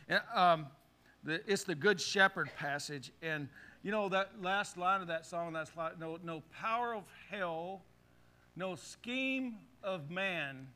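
A middle-aged male pastor preaching a sermon in a church, leading the congregation in call-and-response with animated, forceful delivery. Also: high voice. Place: church sanctuary. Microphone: handheld or headset mic.

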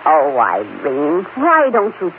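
A woman's voice: low-pitched, wildly swooping pitch, takes it slowly, heavily acted. An actress playing a loyal, indignant female cousin and confidante in an emotive 1940s radio drama style. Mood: concerned. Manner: emotive, theatrical. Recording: scratchy, tinny old radio broadcast.

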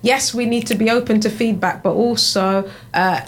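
Measured woman coach talking through ideas. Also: on the high side, steady tone, a little hiss or hum. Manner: thoughtful, measured, with frequent restarts and affirming "mm"s. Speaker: executive coach, guest. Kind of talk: casual conversational interview.

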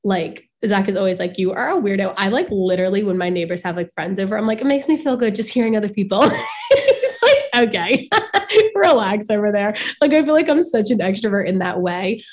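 Young woman, podcast co-host, slight cold rasp, sniffly, chatty, laughs a lot. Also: medium pitch, big pitch swings. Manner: chatty, laughing, fast run-on stories. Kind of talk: casual chat.

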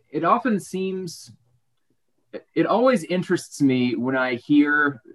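A man's voice: medium pitch, fairly bright tone, rough recording. A man hosting an interview, asking long, winding questions with many restarts. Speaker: podcast host.

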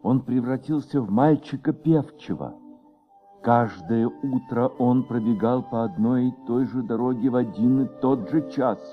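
A man narrating a radio play as a sombre, measured literary storyteller. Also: medium pitch, natural voice. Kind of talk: scripted radio drama narration. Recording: muffled old radio recording. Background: music.

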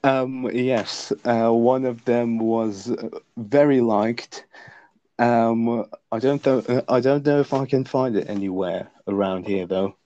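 A man's voice: mid-range voice, fairly even intonation, slow pace, warm tone, no room sound, dull audio. A young man whose first language is Lithuanian, a guest author on a podcast, who answers hesitantly with many fillers and restarts. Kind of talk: podcast interview.

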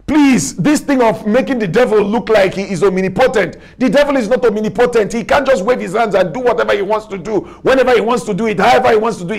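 A man preaching a sermon with a Nigerian accent, emphatic and repetitive, spelling out words and rising to shouts. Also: high voice, vocal fry. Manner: rises to shouts. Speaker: pastor.

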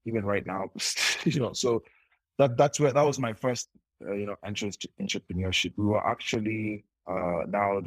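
A young man speaks as a guest in a casual interview, telling his story in a loose, rambling way. His voice sits low and has a touch of huskiness. He often restarts his sentences and laughs between thoughts, and the recording is dry and close. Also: normal speed, no echo, low-quality recording. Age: young adult.

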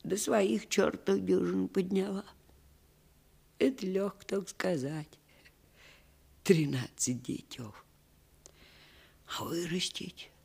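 Husky, unhurried old village granny. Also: female voice, lowish voice, dark tone, heavily acted. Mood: weary. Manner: folksy dialect speech with lamenting exclamations. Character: old Siberian village grandmother, scolding yet doting. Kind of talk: radio play acting.

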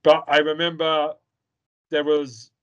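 A man's voice: a little high, monotone, takes it slowly, a bit bright, muffled recording. A young man co-hosting a podcast, chatting about a film in an opinionated, rambling way with lots of fillers and repeated words. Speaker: podcast co-host. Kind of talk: casual chat reviewing a film.

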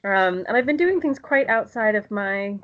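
A woman's voice: mid-range voice, lively intonation, moderate pace, dull audio. A woman from the United States, talking casually and enthusiastically as an interview guest, rambling with frequent ums. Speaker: guest, literature lecturer.

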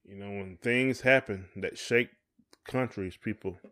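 A man's voice: on the low side, unhurried, sharp tone. A man co-hosting a podcast, talking casually in folksy, colloquial phrasing. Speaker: podcast co-host. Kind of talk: casual conversation.

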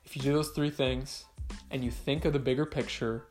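A man's voice: medium pitch, fairly even intonation, normal speed, darkish tone, faint music behind. A young man hosting a podcast, delivering a blunt, profane motivational rant. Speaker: podcast host.

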